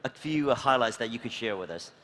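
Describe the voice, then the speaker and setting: A man's voice: medium pitch, expressive, fast, a bit bright. A man moderating a conference panel, asking long, rambling questions full of fillers. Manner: rambling, filler-heavy questions with encouraging backchannels.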